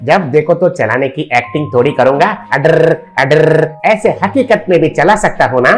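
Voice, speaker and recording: A man's voice: a little high, normal speed, faint music behind, lightly acted. A man voicing a dim-witted, stubborn, boastful man in a comic cartoon story, blustering and repeating his words. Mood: sly, eager. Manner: blustering, repeats words, childish driving noises. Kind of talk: Hindi animated comic story dialogue.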